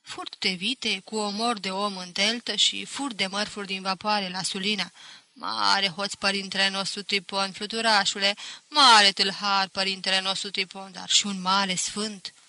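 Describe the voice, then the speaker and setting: A woman's voice: mid-range voice, little pitch movement, pushed and bright, no room sound, poor audio. A woman voicing a knowing, gossipy village woman in an audiobook, confiding scandal in a sly, emphatic way. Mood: confiding, gleeful. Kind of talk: audiobook character acting.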